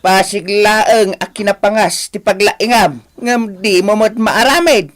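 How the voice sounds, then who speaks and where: A man's voice: high-pitched, unhurried, fairly bright tone, a little hiss or hum. An actor with an Ilocano accent playing a scolding, plain-spoken old father in a radio drama.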